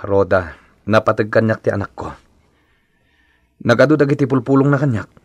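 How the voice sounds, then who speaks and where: A troubled husband and father from a radio drama, played by an actor. His voice is on the low side, subdued and weary, as if caught between those he loves. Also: fairly quick, dry recording, close to an everyday voice. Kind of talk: Ilocano radio drama acting.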